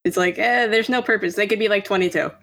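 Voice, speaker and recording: A woman's voice: mid-range voice, fast talker, a bit bright. A woman in her twenties chatting casually on a podcast, using lots of fillers. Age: 20s. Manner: chatty, filler-heavy, says "like" often. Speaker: podcast co-host.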